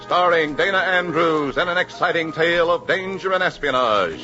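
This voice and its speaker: A man's voice: a little high, moderate pace. A man announcing a 1950s radio drama in a formal, emphatic style. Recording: muffled 1950s radio recording. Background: loud brass music.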